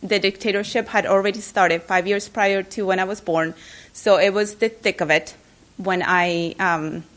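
A woman's voice: medium pitch, fairly even intonation, normal speed, fairly bright tone. Woman, keynote speaker, nervous and chatty, restarts a lot, middle voice. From Chile. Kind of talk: personal speech to an audience. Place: public library event.

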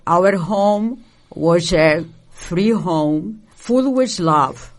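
An elderly woman from Poland, a native Yiddish speaker with a Polish Yiddish accent, giving personal testimony in deliberate, halting phrases. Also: on the low side. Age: 70s or older. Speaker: Holocaust survivor giving testimony.

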